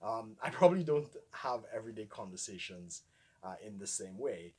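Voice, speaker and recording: A man's voice: fairly low pitch, lively intonation, normal speed, a little mellow. Trinidadian man with projected voice. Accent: light Trinidadian. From Trinidad and Tobago. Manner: clear projected speaking voice, frequent ums and laughs. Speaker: vlogger. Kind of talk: casual to-camera vlog.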